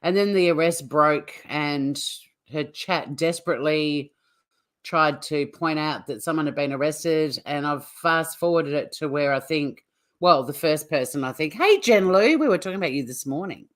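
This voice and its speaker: A woman's voice: low voice, moderate pace. A woman hosting a casual livestream, chatting to viewers in an informal, teasing way. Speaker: livestream host.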